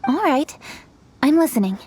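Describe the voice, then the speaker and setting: A woman's voice: high-pitched, sing-song, moderate pace, heavy background noise. A woman playing a dutiful, businesslike young female official in a video game, composed, polite and formal. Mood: composed, curious. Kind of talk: video game dub acting.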